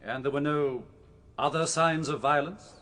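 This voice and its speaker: A man acting a forceful, theatrical prosecuting barrister with a formal, probing manner in a courtroom radio drama. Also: a little high, unhurried. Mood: formal, questioning.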